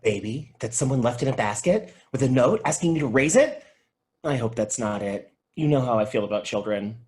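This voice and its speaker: A man's voice: medium pitch, lively intonation, fairly quick, darkish tone, natural voice. Goofy, chatty male video store clerk, acted. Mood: animated, dramatic. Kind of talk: table read of a comedy TV pilot.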